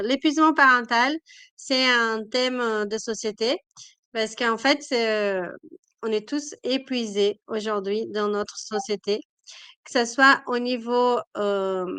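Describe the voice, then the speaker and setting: A woman's voice: on the high side, fairly bright tone, clean tone, no echo. A woman with a cold, hosting a live-stream talk as a wellness coach, speaking hesitantly with many fillers. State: has a cold.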